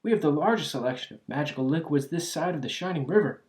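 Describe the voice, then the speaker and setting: A voice actor playing a clumsy, cheerfully eccentric alchemist shopkeeper in an ASMR roleplay, chatty and absent-minded, halting and trailing off. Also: medium pitch, animated, a little mellow, natural voice. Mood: cheerful welcoming. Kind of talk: ASMR roleplay, scripted character acting.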